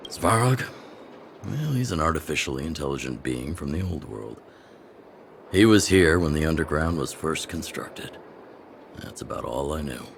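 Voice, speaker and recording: A man playing a gruff, weathered, level-headed underworld chief in a video game, speaking frankly and in a measured way. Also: very deep voice, animated, a little hiss or hum, exaggerated voice. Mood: measured, businesslike. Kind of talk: video game character acting. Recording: background music under the voice.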